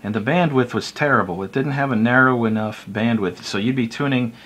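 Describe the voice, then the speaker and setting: A man's voice: mid-range voice, brisk, fairly bright tone, a little hiss or hum. A man giving a casual, unscripted hobby explainer in a folksy, rambling, self-deprecating way. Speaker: hobbyist video presenter.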